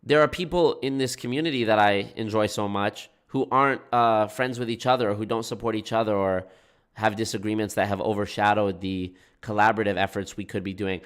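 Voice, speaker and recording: A man's voice: lowish voice, steady tone, fairly quick, clean tone. Congested, nasal-sounding man livestreaming. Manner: rambling, self-correcting, frequent restarts. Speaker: anti-MLM YouTube creator. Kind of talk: casual livestream talk to chat.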